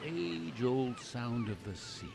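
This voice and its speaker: A man's voice: mid-range voice, wildly swooping pitch, measured pace, gravelly, very noisy, exaggerated voice. A man playing a folksy, earnest narrator in an audio play, speaking in an oratorical, theatrical style. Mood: earnest, lyrical. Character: folksy, earnest male narrator musing on his country.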